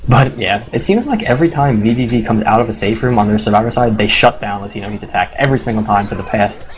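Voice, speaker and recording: A hoarse man doing casual live play-by-play commentary on a competitive video game match, excitable and quick to swear. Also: fairly low pitch, slight background noise. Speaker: esports commentator.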